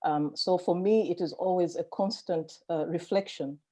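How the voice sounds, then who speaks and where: A woman from Malawi speaking as a panelist at an online conference, measured and reflective, with soft fillers. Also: on the low side. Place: home, online video call.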